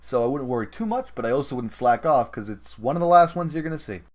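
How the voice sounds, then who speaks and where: A man's voice: mid-range voice, expressive, quick pace, darkish tone. A man hosting a gaming podcast with casual, conversational talk. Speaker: podcast host.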